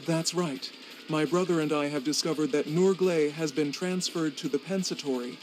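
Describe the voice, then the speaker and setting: A man's voice: on the high side, fairly even intonation, normal speed, faint music behind, lightly acted, rough recording. A man voicing an arrogant undead sorcerer with a condescending, precise manner.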